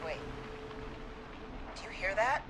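A woman's voice: medium pitch, animated, slow, music in the background. A woman acting a resolute but frightened police dispatcher in a video game, urgent and hesitant under stress. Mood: hushed, resolute.

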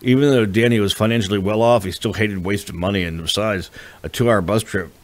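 A man's voice: lowish voice, a little fast. A man reading a novel as a scripted audiobook narration.

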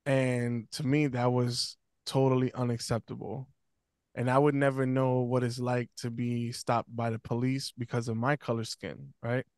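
A young man talks earnestly from a small room at home, hesitant and full of fillers. His voice is clean and smooth, delivered in a steady, level tone, and close and dry on the desk mic. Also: mid-range voice, moderate pace, dry recording. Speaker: podcast guest speaker. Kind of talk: casual panel discussion. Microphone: close desk mic on stand.

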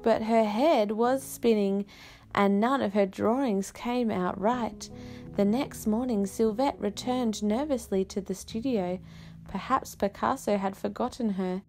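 A woman reading a children's picture book aloud with expressive, careful, unhurried phrasing and dramatic pauses. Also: a little high.

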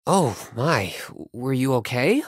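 Young male singer, playful, affectionate, boyish, animated intonation, romance game phone-call dialogue, dry recording. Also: mid-range voice, slow, breathy edge, no room sound, full character voice. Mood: gentle, caring. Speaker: voice actor in a mobile romance game.

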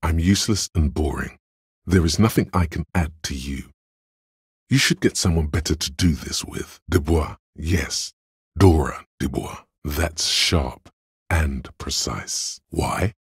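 An actor playing a calm, analytical inner voice of logic in a video game, gravelly and husky, speaking in a dry, measured, knowing way. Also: male voice, rumbling low, no room sound, exaggerated voice. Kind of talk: video game voice-over narration.

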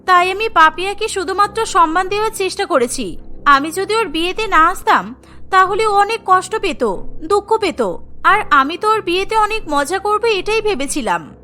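A woman's voice: very high-pitched, bright, forceful tone, full character voice. A woman voicing an earnest poor young woman. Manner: earnest, pleading. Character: poor honest young woman, loyal friend.

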